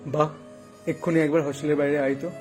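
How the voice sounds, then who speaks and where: A man's voice: fairly high pitch, flat delivery, fast talker, music in the background, natural voice. A man acting an earnest, pleading young man searching for a lost friend in an audio drama. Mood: insistent. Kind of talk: Bengali audio drama dialogue.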